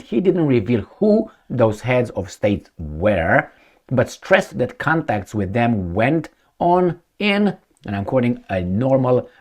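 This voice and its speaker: Sarcastic, lively-pitched man reading the news. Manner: sarcastic, stumbling over words and restarting. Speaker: political commentator. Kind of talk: reading a news article aloud with sarcastic asides.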